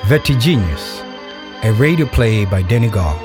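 A man narrates a documentary-style audio play from a script. His voice sits on the low side, and music is clearly heard behind him. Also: sing-song, moderate pace.

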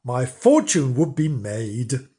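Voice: mid-range voice, slow, husky, voice pushed down, exaggerated voice. Mister Vinegar, eager foolish countryman, read aloud. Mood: eager wishful. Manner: eager, wistful, excitable.

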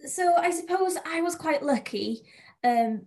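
A woman's voice: extremely high pitch, lively intonation, a little slow, darkish tone. A twenty-year-old woman from North Wales with a North Welsh accent, a native Welsh speaker, chatting casually as an interview guest. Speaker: podcast guest, young sheep farmer and sheepdog handler.

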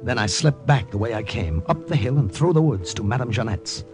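Tense first-person spy narrator, played by an actor, old-time radio style. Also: male voice, medium pitch, animated, a little fast, over music, lightly acted. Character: American spy posing as a Frenchman, narrating. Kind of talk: 1940s radio acting.

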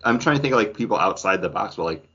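A man's voice: lowish voice, expressive, fast, a bit bright, slightly rough, muffled recording. A man co-hosting a podcast, talking casually and explaining his views in a conversational way. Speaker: podcast co-host. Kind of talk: casual group discussion about comics.

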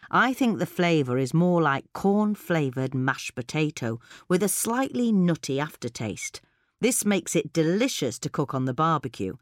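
A British woman reading a scripted magazine article in a clear, measured manner. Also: low-pitched, no room sound, studio quality. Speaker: podcast article reader. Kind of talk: scripted narration of a gardening article.

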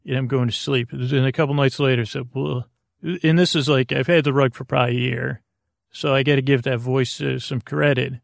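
Gravelly American man, rambling and halting. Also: medium pitch, fairly even intonation, no echo, clean studio sound. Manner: halting, self-deprecating. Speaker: sleep podcast host. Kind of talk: rambling, meandering bedtime monologue.

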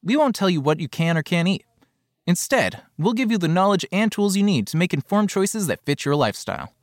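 A man's voice: high voice, big pitch swings, brisk, no echo, clean studio sound. A man reading a scripted advertising voice-over.

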